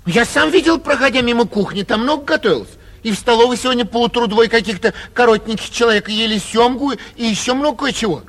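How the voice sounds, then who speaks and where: Vain young gentleman, high pitch, whining indignation, theatrical 1940s radio drama, reverberant old recording. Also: expressive, quick pace. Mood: haughty, indignant. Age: young adult. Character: vain, petulant young gentleman traveller, blustering yet cowardly.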